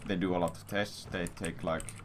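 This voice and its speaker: A man with a very deep voice rambles through a crude, sweary anecdote in a casual streamer style. The recording has clear background noise, with computer keyboard sounds behind him. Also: steady tone, normal speed. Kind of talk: casual storytelling to stream viewers.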